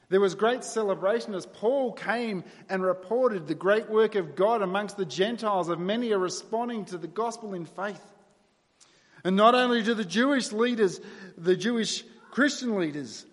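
A man with an Australian accent preaching a sermon to his congregation in a conversational, self-deprecating way. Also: high voice. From New South Wales, Australia. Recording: long reverberant hall sound.

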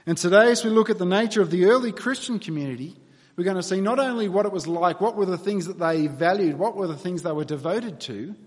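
An Australian man delivering a sermon, moving between earnest prayer and relaxed storytelling with dry, wry humour. His voice sits a little high for a man. The sound carries the long reverb of a church hall. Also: fast talker. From New South Wales, Australia. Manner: earnest, conversational, wry asides. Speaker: pastor.

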